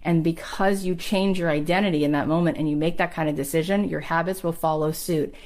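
Low-pitched woman giving an emphatic motivational talk. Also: steady tone, brisk, darkish tone. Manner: emphatic, encouraging, repeats phrases for effect. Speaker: podcast host and motivational coach. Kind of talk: motivational coaching talk with personal anecdotes.

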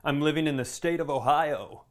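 A man's voice: a little high, moderate pace. A man co-hosting a podcast, telling stories in a casual comedic way, rambling and interrupting himself with profane asides. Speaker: podcast co-host.

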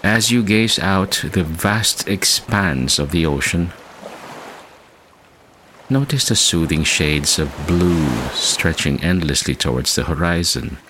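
A man hosting a guided meditation, speaking in a measured, soothing way with long pauses between phrases. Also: low voice, fairly bright tone, slight background noise. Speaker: meditation podcast host. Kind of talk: slow guided meditation narration. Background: music bed.

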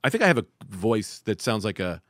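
Host, young man, rapid animated delivery, laughs mid-story, dry booth. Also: fairly low pitch, no room sound. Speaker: podcast host. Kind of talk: casual podcast banter. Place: podcast studio. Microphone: studio mic.

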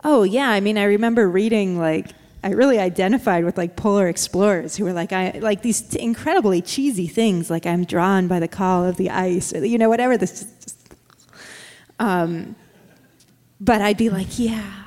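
A woman guest from California talks about her memoir in a lively, self-deprecating way, full of "like" and "you know". Her voice carries a long reverb tail. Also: mid-range voice, moderate pace. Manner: animated, self-deprecating, many fillers. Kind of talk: casual interview chat.

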